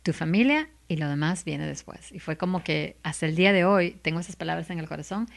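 A middle-aged woman, a lawyer and legal commentator, speaking as an interview guest in an animated, heartfelt way, laughing often and repeating words for emphasis. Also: low-pitched, brisk, gravelly. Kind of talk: faith-based interview answer.

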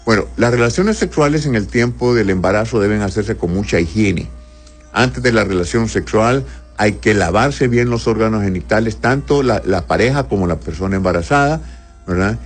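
A man hosting a call-in medical advice show, calmly explaining conditions and reading listeners' messages aloud. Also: fairly low pitch, moderate pace, soft background music. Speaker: radio doctor answering listener questions.

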